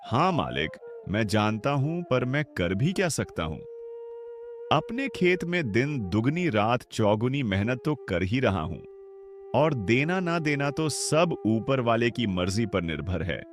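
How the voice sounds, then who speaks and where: A man's voice: medium pitch, big pitch swings, a little slow. A man voicing a humble, hardworking poor farmer in a cartoon, talking in a respectful, earnest way. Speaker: voice actor. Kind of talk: cartoon dialogue acting. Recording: background music under voice.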